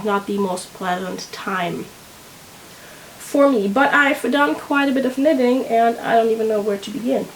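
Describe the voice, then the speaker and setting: A woman with a head cold talks about her knitting projects, and her voice sits a little high and sounds nasal and congested. She chats casually and loosely to her viewers, often pausing and searching for words. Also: a little hiss or hum, poor audio. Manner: rambling, hesitant, full of "um"s. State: congested, sick. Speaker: knitting vlogger. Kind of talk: casual chat to camera.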